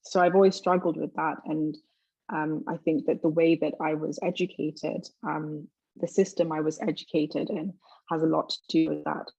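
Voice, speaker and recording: Gravelly, even-toned young London woman. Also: deep voice, moderate pace, dry recording, lo-fi sound. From London, England. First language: Twi. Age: 20s. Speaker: guest speaker. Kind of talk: personal motivational talk and reflective answers.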